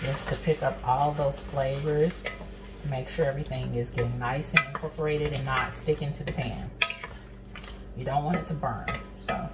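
A woman's voice: very deep voice, fairly even intonation, moderate pace. A young woman, chatting casually through a cooking demo in her home kitchen and calling viewers honey and sis. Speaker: cooking vlogger. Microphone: camera nearby, speaker off to the side. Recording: kitchen with frying noise. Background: sizzling pan and stirring.

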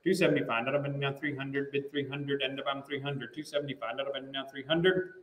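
American auctioneer chanting in a roomy hall. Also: male voice, mid-range voice, fairly even intonation, quick pace, a bit bright. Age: middle-aged. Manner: repetitive rhythmic bid-calling with filler phrases. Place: large indoor auction room. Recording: roomy, picked up at a distance.

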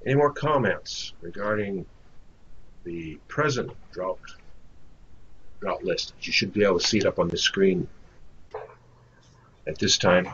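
A man moderating an informal work conference call in a halting, tentative way. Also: low-pitched, a bit bright. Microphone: conference call microphone. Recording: muffled, noisy conference-call audio.

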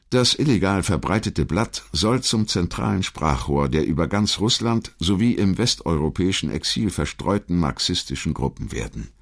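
Ein Mann, der als Erzähler einen vorbereiteten historischen Text vorträgt. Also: male voice, low voice. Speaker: documentary narrator. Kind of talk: scripted historical narration.

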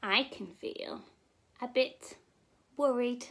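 A young woman, a nursery teacher, reading a children's story expressively and gently, as if to small children. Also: a little high, slow. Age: young adult. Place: indoor room at home.

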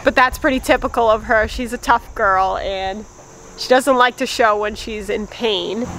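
A woman's voice: a little high, background noise. A young woman casually narrating a farm vlog, chatty with little laughs, filmed outdoors on a phone held at arm's length. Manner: chatty, light laughs, baby-talks to her dogs. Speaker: farm vlogger.

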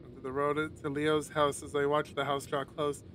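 A man's voice: a little high, completely flat delivery, normal speed, fairly bright tone, slight background noise. A man streaming a visual novel, reading the narration aloud and dropping in casual, sweary asides. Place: home streaming setup, livestream.

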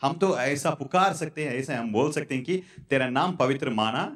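A man in his thirties preaching a sermon on a church stage into a handheld mic, halting and repetitive. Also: medium pitch, expressive, a bit bright. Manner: halting, repetitive, fillers and restarts. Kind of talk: sermon with prayer. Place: church stage in front of curtains.

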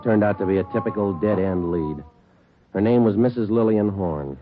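Guy playing a deadpan cop narrating, very low voice, tinny old radio sound. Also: moderate pace, slight background noise, close to an everyday voice. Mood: flat, businesslike. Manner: clipped, matter-of-fact. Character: terse male police sergeant narrating his case. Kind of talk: 1940s radio drama narration. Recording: tinny 1949 radio broadcast with music.

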